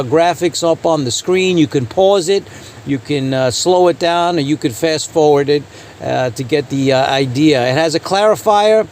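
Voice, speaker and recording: A man hosting an informal product review, speaking in a halting way with frequent uh pauses and repeated phrases. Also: a little high, darkish tone, slight background noise. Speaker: channel host reviewing radio gear.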